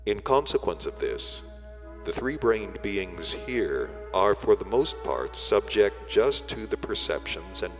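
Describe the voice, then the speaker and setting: A man reading a book aloud as solemn, scripted narration, in measured, deliberate phrases with weighty breaks. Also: low-pitched. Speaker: audiobook reader. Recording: long reverb, music behind the voice. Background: music.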